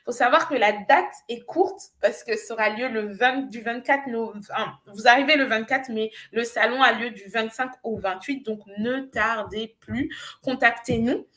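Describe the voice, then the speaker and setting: A woman from Nigeria, a business coach, giving an earnest, persuasive pitch with frequent hesitations. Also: a little slow, a bit bright. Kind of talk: promotional pitch on a live show.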